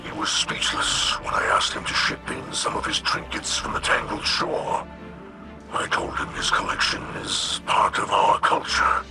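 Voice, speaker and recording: A man voicing a wise, gentle alien leader in a video game, solemn and formal, with a breathy growl. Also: mid-range voice, lightly acted. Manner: solemn, measured, formal phrasing. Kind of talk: video game cutscene acting.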